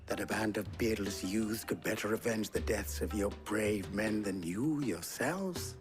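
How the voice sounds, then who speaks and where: A man playing a smooth, courtly fixer in a fantasy game, sly and goading, with florid rhetorical delivery. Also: on the low side, measured pace, over music, close to an everyday voice. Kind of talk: dramatic video game acting.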